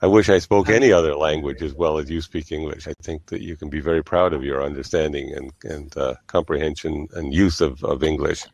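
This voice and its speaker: A man with a low voice talks in a measured, halting manner, as the host of a casual online discussion. He explains things step by step, and the sound is dry, with hardly any room in it. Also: fairly even intonation, darkish tone, dry recording. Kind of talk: casual, explanatory live-stream chat.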